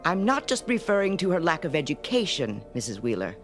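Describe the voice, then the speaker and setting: Stern, low-voiced schoolmistress. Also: female voice, very animated, brisk, soft background music. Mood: indignant. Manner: prim, precise, insistent, condescending. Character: stern, self-righteous female schoolteacher, brisk and domineering. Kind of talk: vintage-style radio drama acting.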